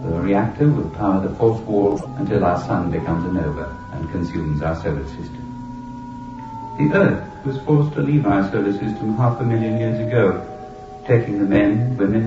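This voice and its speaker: A man playing a solemn machine sentinel of an ancient shrine in a science fiction radio drama, speaking in a grave, ceremonial, measured way. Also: fairly low pitch, lightly acted. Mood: grave, stately. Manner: grave, measured, ceremonial tour-guide delivery. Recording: echoey, distant, dull radio recording with music.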